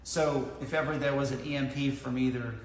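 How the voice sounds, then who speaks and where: A man's voice: mid-range voice, fairly even intonation, a little fast. A man in his thirties explaining a product methodically to camera, as a reviewer does. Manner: methodical, explaining points in order. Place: large indoor lounge with tiled floor. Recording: echoey hard-walled room, clear background noise.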